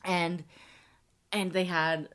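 A woman's voice: lowish voice, flat delivery, drawn-out words, fairly bright tone. A middle-aged woman co-hosting a casual podcast, chatty and bubbly, laughing often. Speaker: podcast co-host. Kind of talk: casual chat.

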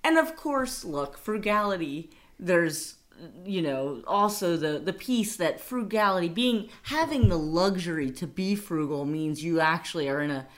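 A woman's voice: lowish voice, expressive, unhurried. A woman co-hosting a casual money podcast, chatty and laughing often. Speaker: podcast co-host.

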